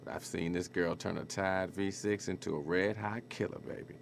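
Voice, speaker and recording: A swaggering American hustler for a video game. His voice sits low with a heavy fry, and he talks in smooth, flirty slang. There is faint noise behind him. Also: steady tone, normal speed. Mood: warning, cocky. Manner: slangy, cocky smooth talk. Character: swaggering, flirtatious male street hustler and crime partner. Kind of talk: video game cutscene acting.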